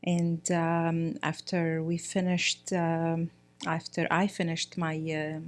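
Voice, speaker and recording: A middle-aged woman from Egypt, a guest speaking in a casual interview, often pausing with fillers and restarting her sentences. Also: low-pitched, completely flat delivery. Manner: hesitant, many fillers and restarts. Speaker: guest, priest's wife.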